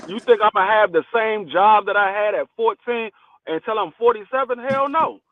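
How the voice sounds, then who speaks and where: A man in his forties chatting casually on a podcast, telling stories in blunt slang with animated energy. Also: high voice, normal speed. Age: 40s. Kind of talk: casual chat. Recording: tinny phone-line sound, dry.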